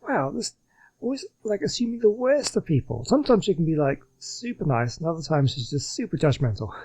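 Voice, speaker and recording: A man's voice: on the high side, big pitch swings, a little fast, darkish tone, breathy edge, low-quality recording. A man giving casual gameplay commentary in a chatty, rambling way, as host of a gaming video.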